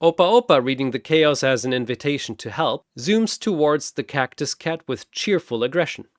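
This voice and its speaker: A man's voice: on the high side, expressive, normal speed, dry recording, clean studio sound. A man reading dramatic scripted story narration in a theatrical, punchy way.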